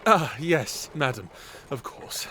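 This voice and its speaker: An actor playing a nervous, courteous young man held captive, in a dark comedy audio drama. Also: a little high, very animated, slow, a little hiss or hum, heavily acted. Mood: eager to please. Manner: stammering when cowed, courteous. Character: nervous, polite young male human captive.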